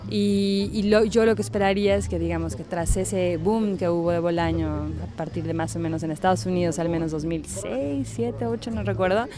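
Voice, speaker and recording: A woman's voice: on the low side, moderate pace, hardly any pauses, crowd noise. A woman writer with a slightly unusual Mexican Spanish accent, answering interview questions thoughtfully and searching for her words.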